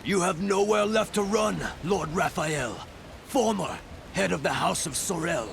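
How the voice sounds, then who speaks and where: A man's voice: sits high, unhurried, gravelly. An actor playing a menacing, commanding captain in a video game, imperious and threatening as he hunts a noble. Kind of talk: dramatic video game villain acting. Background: music.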